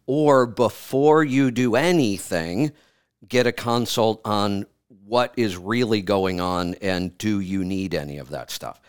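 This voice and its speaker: Firm, low-voiced man hosting a radio show. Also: smooth, no echo, studio quality. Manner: firm, direct, repeats himself. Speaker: radio health show host. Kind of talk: advising a caller on air. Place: radio studio.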